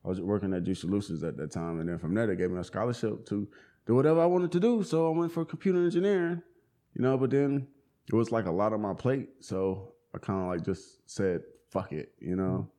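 A young man in his twenties with a fairly low voice chats easily in an interview. He talks in loose slang, with plenty of fillers and restarts. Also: brisk, mellow. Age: 20s. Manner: laid-back slang, many fillers and restarts. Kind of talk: casual conversation.

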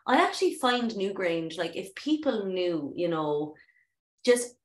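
A woman's voice: mid-range voice, sing-song, measured pace. A woman co-hosting a podcast, talking effusively and searching for words. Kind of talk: casual podcast chat. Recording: echoey room.

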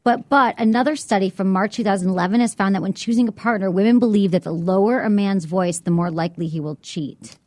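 A chatty woman co-host who giggles and trails off mid-thought, her voice a touch husky. She sits close to the mic in a dead-dry studio sound. Her pitch sits in a middle range. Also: fast talker, hardly any pauses, darkish tone, dry recording. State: slightly husky from a cigarette. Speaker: podcast co-host. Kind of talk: casual chat.

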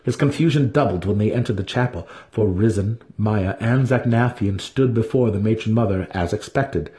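A man's voice: on the low side, moderate pace, mellow. A man giving a dramatic scripted narration as an audiobook reader.

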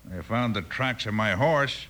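A man's voice: lowish voice, a little slow, pushed and bright. Piercing, surly western henchman. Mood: surly, defensive. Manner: rough-spoken, grumbling. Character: surly, defensive animal-trainer henchman in a western. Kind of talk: 1950s radio western acting. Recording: noisy old-time radio recording.

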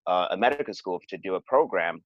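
A man's voice: low-pitched, expressive, fast talker, no room sound. A man from Cameroon, a podcast guest, telling his experiences in a hesitant, conversational way with many fillers and restarts. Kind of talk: conversational interview storytelling. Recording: dull, lo-fi sound.

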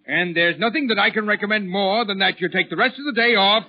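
Firm, high-voiced radio doctor. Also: male voice. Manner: brisk, insistent, professional. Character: firm, authoritative male family doctor. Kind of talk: 1940s radio comedy acting. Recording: muffled 1940s radio broadcast.

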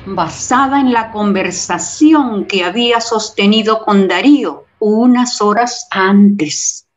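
Una mujer que narra una radionovela leyendo un guion. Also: female voice, mid-range voice, slow pace, lo-fi sound. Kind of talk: scripted radio drama narration.

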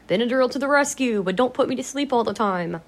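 A woman vlogging in a casual, rambling way, her voice a little raspy from allergies, blunt and free with swear words. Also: fairly high pitch. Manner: chatty, blunt, swears freely. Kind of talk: casual rambling vlog chat.

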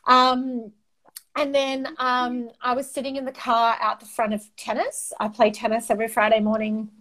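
A woman in her forties with an Australian accent talks in a fairly high voice, loud, fiery and passionate. Greek was her first language, and she comes across as animated and expressive. She fills her pauses with frequent "um"s as she chats as an interview guest. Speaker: guest, campaign marketer. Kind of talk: casual interview chat.

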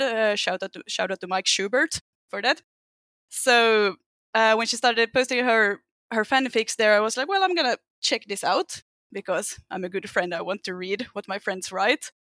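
A woman's voice: mid-range voice, bright, forceful tone, no room sound. A woman from Finland with a Finnish accent, a guest on a podcast, telling her story casually with laughs.

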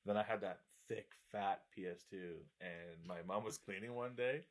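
A man's voice: low voice, moderate pace. A man in his mid-twenties chatting casually, joking and laughing often while telling stories. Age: 20s. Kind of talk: casual chat.

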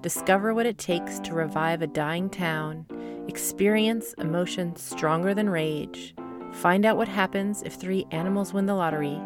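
A woman's voice: fairly low pitch, moderate pace, over music. A woman hosting a podcast, reading scripted introductions and interview questions.

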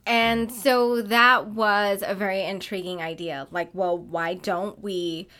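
A woman's voice: medium pitch, steady tone, takes it slowly, bright, forceful tone. Chatty, self-mocking woman podcaster. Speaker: podcast co-host. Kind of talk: casual chat. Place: home room, sitting opposite her partner. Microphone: shared USB desk mic, bidirectional.

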